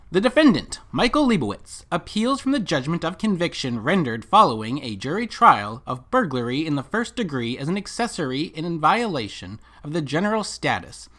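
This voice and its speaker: A commentator delivering scripted video commentary and reading from documents, with rhetorical, emphatic and deliberate phrasing. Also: mid-range voice, fairly bright tone. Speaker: commentator and narrator.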